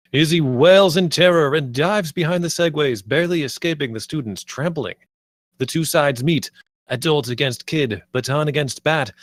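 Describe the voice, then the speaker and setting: A man's voice: medium pitch, dry recording. A man reading stage directions aloud as scripted screenplay narration.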